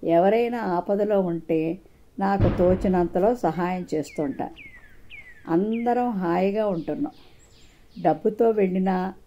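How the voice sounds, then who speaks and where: A woman's voice: mid-range voice, mellow. A woman voicing a cheerful, humble village farmhand man in a children's moral story, respectful and content.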